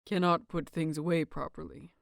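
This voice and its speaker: A woman voicing a quiet, stoic, devoted husband of few words in an audio story, terse and deadpan. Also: sits low, moderate pace, creaky, dry recording, clean studio sound, pitched below the performer's own voice. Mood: deadpan teasing.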